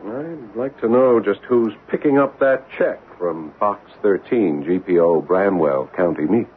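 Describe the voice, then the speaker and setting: An actor playing an earnest, curious American writer who also narrates, in old-time radio drama style. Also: male voice, lowish voice, animated, a little slow, breathy edge. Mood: determined. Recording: tinny, noisy old radio broadcast.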